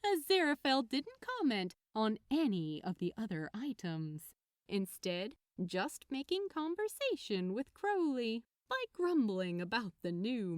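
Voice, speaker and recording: A woman reading a story aloud as narrator, in an expressive scripted style. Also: mid-range voice, dry recording, studio quality. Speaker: narrator, fan fiction reader.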